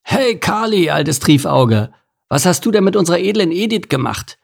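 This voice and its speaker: A man's voice: sits high, sing-song, normal speed, vocal fry, pitched above the performer's own voice, full character voice. A man voicing a cheerful, cheeky male eel in a storybook reading, brash and teasing.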